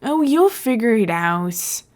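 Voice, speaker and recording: A woman voicing an excitable, teasing young man, a supportive best friend, in exclaiming podfic dialogue. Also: on the high side, slow pace. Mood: excited, encouraging. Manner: exclaiming, enthusiastic.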